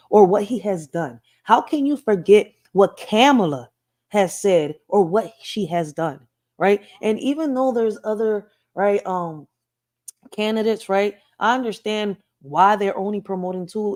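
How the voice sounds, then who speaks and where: A woman's voice: mid-range voice, darkish tone. A woman from Puerto Rico with a Philadelphia accent, hosting casual commentary and rambling with a frequent "right?". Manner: rambling, says "right?" often. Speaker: host.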